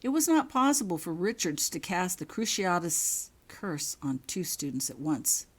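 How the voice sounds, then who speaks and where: A woman narrates a scripted story as its author. Her voice sits low and moves expressively through a wide range. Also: animated, normal speed, breathy edge. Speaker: author reading own fan fiction.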